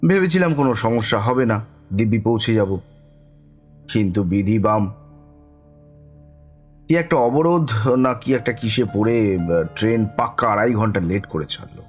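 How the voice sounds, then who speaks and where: Guy acting middle aged club storyteller, deepish voice, cryptic n teasing, horror audio drama, music behind. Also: animated, normal speed, pauses often, close to an everyday voice. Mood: reminiscing. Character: cheerful older club raconteur turning mysterious storyteller.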